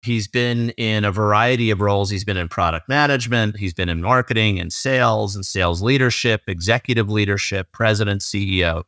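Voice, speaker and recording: Upbeat male podcast host, low voice, dry close-mic sound. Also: brisk, few pauses, a bit bright, no room sound. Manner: upbeat and enthusiastic. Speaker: podcast host, sales coach. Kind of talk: podcast interview hosting.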